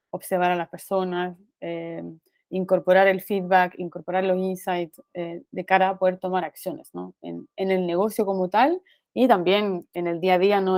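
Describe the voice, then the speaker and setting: A woman with a Romanian accent gives a guest lecture to university students over a video call. She talks at an ordinary pitch, often pausing on "eh" and checking in with "¿no?". The sound is dry and close, with no room around it. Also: a little mellow, dry recording. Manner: frequent "eh" fillers and "¿no?" tag questions. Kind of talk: online university class talk.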